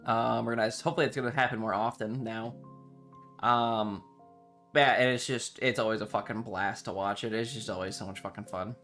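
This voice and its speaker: A man's voice: on the low side, steady tone, brisk, fairly bright tone. Rambling male streamer with music behind. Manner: rambling, enthusiastic, casually sweary. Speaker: livestreamer. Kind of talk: casual livestream chat.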